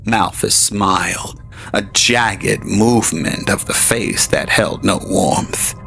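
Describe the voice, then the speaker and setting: Gravelly man narrating an audio drama. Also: on the low side, steady tone, bright, forceful tone. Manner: measured storytelling with dramatic swings. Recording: narration over faint music.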